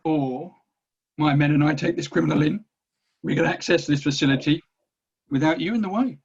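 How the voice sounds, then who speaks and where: Man playing husky military commander, dull lo-fi sound. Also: a little high, normal speed, a little mellow. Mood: firm. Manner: firm, bargaining. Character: hard, self-serving male military commander. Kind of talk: screenplay table read acting.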